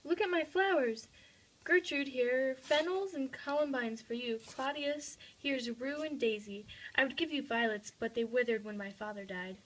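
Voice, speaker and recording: A woman's voice: high voice, moderate pace, fairly bright tone, low-quality recording. A woman playing a pitiable, grief-mad young noblewoman in an amateur puppet-show play. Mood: dazed, sorrowful.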